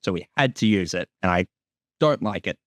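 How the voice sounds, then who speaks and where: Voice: sits low, expressive, a little fast, fairly bright tone, dry recording, clean studio sound. A person giving a casual creator's commentary on an episode, chatting informally. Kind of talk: casual director's commentary chat.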